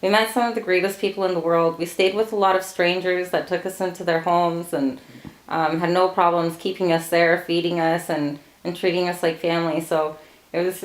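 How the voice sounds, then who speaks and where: A woman's voice: on the low side, fairly quick, roomy sound, low-quality recording. A woman in her late thirties, born in Portugal, answering family interview questions with frequent laughter. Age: late 30s. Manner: laughs often, relaxed storytelling.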